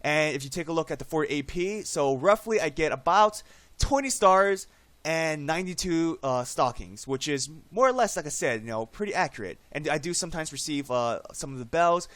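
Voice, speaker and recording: A man recovering from a cold, his voice slightly hoarse, chatting casually through a screen-recorded game tutorial and tagging "right?" onto his sentences. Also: fairly high pitch, animated, moderate pace, a bit bright, no echo. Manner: chatty, frequent "right?" tag questions. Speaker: game guide YouTuber.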